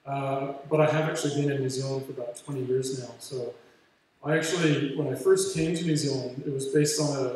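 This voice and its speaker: A man's voice: medium pitch, steady tone, fairly quick, a little mellow. A man around forty with a Canadian accent gives an informal, self-effacing graduation speech in a large hall, speaking hesitantly. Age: late 30s to early 40s. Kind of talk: informal motivational speech with personal story. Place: large hall with a big audience. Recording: very echoey hall, distant, faint noise.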